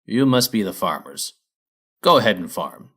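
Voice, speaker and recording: A man plays a lazy storybook dragon out for himself. The voice is lowish and moves around a lot in pitch. He talks in a blunt, offhand, laid-back way. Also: sing-song, moderate pace, a bit bright. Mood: assured. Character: lazy, laconic, self-serving male dragon.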